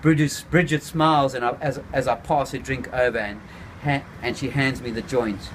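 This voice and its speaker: A man's voice: mid-range voice, fairly even intonation, slight background noise. Man reading his own novel aloud. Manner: laid-back, unhurried reading with small slips. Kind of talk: first-person novel reading.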